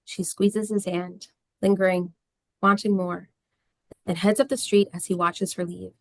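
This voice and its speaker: A woman's voice: fairly low pitch, flat delivery, measured pace, no echo. A woman reading scripted stage directions as a narrator.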